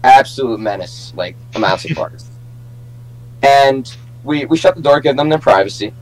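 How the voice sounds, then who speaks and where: A man's voice: medium pitch, lively intonation, moderate pace, soft background music, low-quality recording. Casual young man telling stories. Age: young adult. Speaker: podcast co-host. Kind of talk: casual chat and storytelling among friends.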